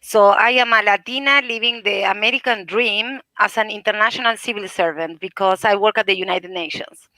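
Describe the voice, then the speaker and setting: A woman's voice: medium pitch, a little fast, bright, forceful tone, dry recording. A woman from Argentina with an Argentine accent and native Spanish, introducing herself conversationally as a panel guest. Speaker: panel guest, international civil servant.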